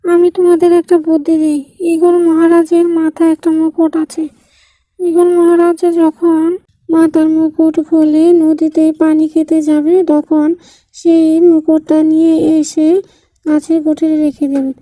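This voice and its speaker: Clever little cartoon tailorbird, played by a woman: high, very mellow, measured and helpful. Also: monotone, dry recording, rough recording. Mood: calm, advising. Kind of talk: cartoon fable dialogue.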